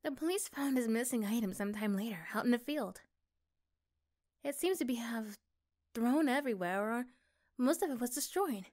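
A woman playing an affectionate, reassuring young girlfriend in a soft, gentle ASMR roleplay. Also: fairly high pitch, expressive, moderate pace, long pauses, close to an everyday voice. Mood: storytelling. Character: affectionate, reassuring young girlfriend chatting intimately to her partner.

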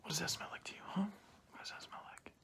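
A young man speaking softly through a cloth mask in an ASMR roleplay as an examiner, often dropping into whispers and repeating short phrases close to the mic. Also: fast. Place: small plain indoor room. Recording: clearly noisy home recording with clicks.

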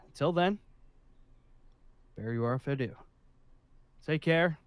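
A man playing an officious, somewhat awkward leader of a survivor haven in an improvised zombie roleplay, speaking formally with hesitant pauses. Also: a little high, clean tone, no echo. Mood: awkwardly polite. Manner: formal and rule-minded, with hesitant pauses and sighs.

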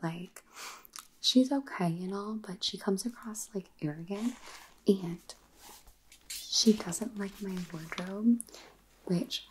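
A woman's voice: fairly low pitch, a little mellow, slight background noise, rough recording. A woman playing a bored, snide young vampire housemate with a disdainful, gossipy drawl in an ASMR roleplay. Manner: disdainful drawl, filler-heavy valley-girl talk. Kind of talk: whispered ASMR roleplay monologue.

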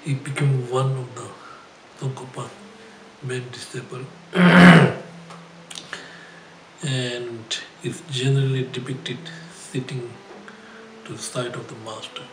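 An older man, a Buddhist teacher, reading a biography aloud haltingly with frequent pauses. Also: medium pitch, flat delivery. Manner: halting, with pauses and throat clearing. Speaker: Buddhist teacher and spiritual director. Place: indoor shrine room, seated at a desk. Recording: echoey room, clear background noise.

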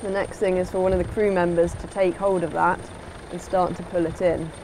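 A woman's voice: lowish voice, little pitch movement, brisk, background noise. A woman giving an instructional voice-over as a sailing instructor, in a plain, explanatory way.

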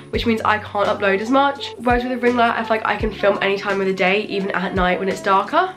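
A teenage girl with a croaky voice from a cold, chatting excitedly through an unboxing vlog in her bedroom, filmed on a camera mic. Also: medium pitch. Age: teens. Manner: chatty and excitable, lots of "like" and "literally". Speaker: YouTuber. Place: bedroom, indoors.

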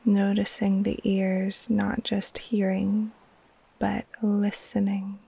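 A woman guiding a relaxation meditation in a soft, breathy, soothing voice that often trails into a near-whisper, with long pauses between short phrases. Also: mid-range voice, monotone, rough recording. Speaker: yoga nidra teacher, meditation guide.

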